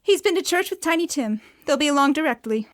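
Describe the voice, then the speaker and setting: A woman playing a bustling, very high-voiced mother. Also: animated, fast. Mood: motherly. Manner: fussing, motherly. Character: warm, bustling working-class mother. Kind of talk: student radio drama acting.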